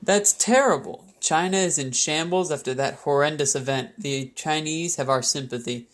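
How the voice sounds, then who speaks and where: Young man acting as high-voiced 1920s radio host. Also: moderate pace, natural voice. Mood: formal. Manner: showy announcer phrasing with period slang. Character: upbeat 1920s male radio news host. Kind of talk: scripted 1920s radio news show acting.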